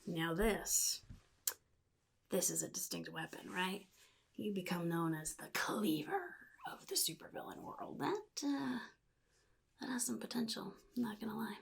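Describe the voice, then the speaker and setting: Woman playing a sadistic male comic-book supervillain, gravelly half-whisper, husky, halting drawl, casually menacing mentor, soft ASMR roleplay. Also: mid-range voice, full character voice. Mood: casual menace.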